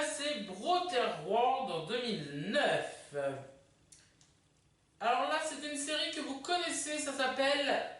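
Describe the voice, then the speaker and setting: A presenter casually hosting a countdown video, chatty and halting, with self-corrections. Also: mid-range voice, pushed and bright. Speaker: host of a countdown video channel. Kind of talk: casual presenting of a ranking list to camera. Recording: echoey room, distant mic.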